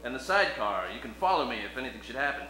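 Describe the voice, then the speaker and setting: A man's voice: mid-range voice, very animated, very fast, pushed and bright. A man acting an earnest, suspicious detective hero in a comedic radio play, with a mock-heroic manner. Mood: confiding. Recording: roomy live stage, music behind.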